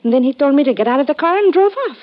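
High-voiced, quick young Portuguese woman. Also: expressive. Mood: shaken, reporting. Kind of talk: 1950s radio drama acting. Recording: muffled old radio recording.

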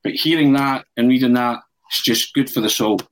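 A man's voice: mid-range voice, moderate pace, rough recording. A man hosting a football podcast in casual chat, joking and chatty. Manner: jokey, chanting, laughs often. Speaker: podcast host.

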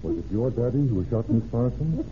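An actor playing a patient American county sheriff in an old-time radio drama. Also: male voice, mid-range voice, lively intonation, brisk, lightly acted. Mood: patient questioning. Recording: noisy lo-fi old radio recording.

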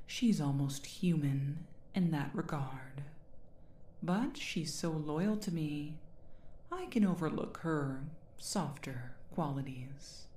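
Female performer as demon queen for ASMR roleplay: slow, mellow, imperious, teasingly menacing. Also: low voice, roomy sound. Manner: imperious, condescending, theatrical, drawn-out.

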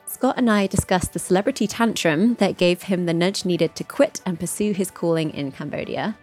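A woman's voice: fairly low pitch, normal speed, darkish tone, faint music behind. A young woman hosting a travel podcast interview in an upbeat manner, laughing often. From United Kingdom. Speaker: podcast host.

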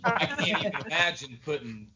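A man in a casual podcast chat, joking crudely and talking in a lively way. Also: on the high side, brisk, a crowd in the background, rough recording.

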